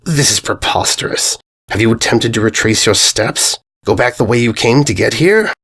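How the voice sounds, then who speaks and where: A man voicing a menacing giant centaur villain in a storybook, with a raspy voice and a grandiose, theatrical, exasperated manner. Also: medium pitch, expressive, moderate pace, a bit bright. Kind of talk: storybook narration character voice.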